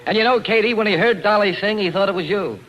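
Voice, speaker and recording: An actor playing a shrewd, blunt and commanding club boss in a 1940s radio drama. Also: male voice, sits high, fast talker, dull audio, lightly acted. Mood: accusing. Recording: noisy vintage 1940s radio recording.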